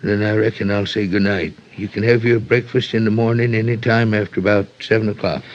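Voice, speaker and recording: An actor playing an evasive rural American innkeeper in a radio drama, with a folksy, halting, defensive way of talking. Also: male voice, on the low side, little pitch movement, lightly acted. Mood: uneasy, dismissive. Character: evasive rural innkeeper nervously covering up trouble. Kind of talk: 1940s-50s radio drama acting. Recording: muffled vintage radio recording.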